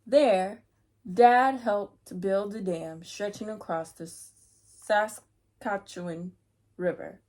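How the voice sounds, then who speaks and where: A woman hosts a read-aloud for young children. She takes her time, with an unhurried pace and a middle-range voice. Her manner is chatty and playful, speaking to her listeners as friends. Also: medium pitch, darkish tone.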